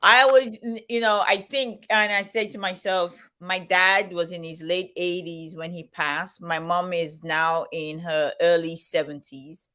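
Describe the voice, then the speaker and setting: Woman, 50s, bright and clear tone, casual and reflective, laughs easily; live stream co-host. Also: on the low side, fairly even intonation, unhurried, few pauses. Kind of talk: casual chat.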